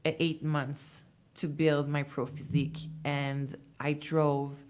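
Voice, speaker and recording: Low-voiced woman in her 20s, chatty guest, lots of ums. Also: little pitch movement, slow, a little mellow. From Montreal, Canada. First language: French. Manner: chatty storytelling with many fillers. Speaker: interview guest, bikini competitor. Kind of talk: casual interview talk.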